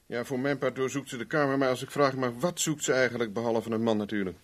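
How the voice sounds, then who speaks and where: Man acting wry, unflappable detective, medium pitch. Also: fast talker, natural voice. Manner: dry, sardonic, casual. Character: Rex Carver, wry, unflappable male private investigator. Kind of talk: 1970s radio play acting.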